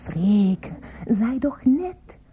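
An actress playing a fussy, affectionate, naive woman with a German accent in a comic radio play, coaxing and gently reproachful. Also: a little high, sing-song, very slow, heavily acted. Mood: placating.

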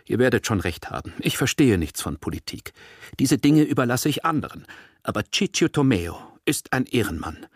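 A man's voice: mid-range voice, very animated, moderate pace, husky, no echo, studio quality, voice pushed up. A man voicing an aggrieved, pathetic villager who protests emphatically and self-righteously in a literary audiobook. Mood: aggrieved, indignant. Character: aggrieved, pathetic, indignant male villager of little means. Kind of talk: acted dialogue in a literary audiobook reading.

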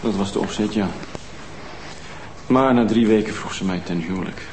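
A man's voice: fairly low pitch, moderate pace, close to an everyday voice. A man playing a guarded widowed ex-nurse. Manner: guarded, defensive replies. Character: younger husband of murdered old woman, former nurse. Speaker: widower of the victim. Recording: TV sound with room echo and background noise.

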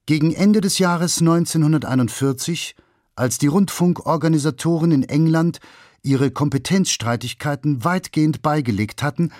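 A man's voice: a little high, a little mellow, no echo. Man narrating a documentary, dry close sound.

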